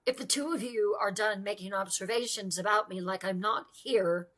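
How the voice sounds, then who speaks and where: A woman's voice: medium pitch, normal speed, a bit bright, natural voice. A woman reading the lines of a prickly, defiant young woman, curt and cool. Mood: cold, defiant. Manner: curt, clipped.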